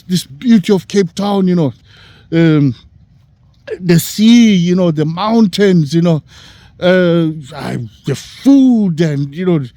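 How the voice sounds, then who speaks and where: A man in his sixties from Africa, talking in a halting, rambling way, full of "you know" fillers. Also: high-pitched, mellow, no room sound. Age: 60s. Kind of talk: casual rambling monologue.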